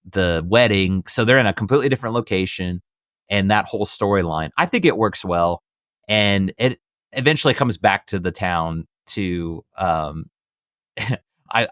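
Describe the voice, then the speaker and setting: A man's voice: fairly low pitch, a bit bright, no room sound, clean studio sound. A man co-hosting a casual film discussion, talking in an easygoing, hedging, meandering way. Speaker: podcast co-host leading the discussion.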